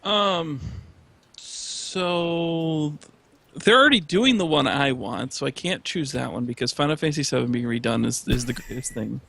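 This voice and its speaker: A man talking casually on a discussion panel, halting and repeating words as he works toward his point. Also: on the high side, gravelly, sharp tone. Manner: halting, stammering with repeated false starts.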